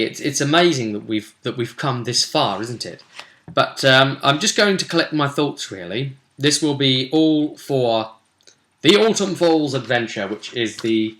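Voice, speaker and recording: A British man with a bright, forceful voice and an average pitch. He rambles through a tabletop adventure like a game master among friends, chatty and animated, in a casual storytelling style. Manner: animated, chatty, acts out brief quotes. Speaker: host and game master recapping sessions.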